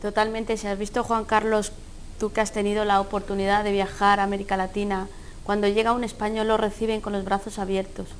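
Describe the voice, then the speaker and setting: A woman's voice: mid-range voice, little pitch movement, fairly quick, slight background noise. A woman from Ecuador with an Ecuadorian Spanish accent, speaking earnestly as a guest in a studio debate. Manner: earnest, speaks from personal experience. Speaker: panel guest.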